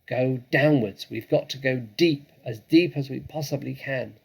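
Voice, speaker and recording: A man voicing a proud, anxious, quick-witted father fox in a storybook, urgent and exclamatory. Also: mid-range voice, gravelly, slightly rough, pitched above the performer's own voice. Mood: urgent, excited.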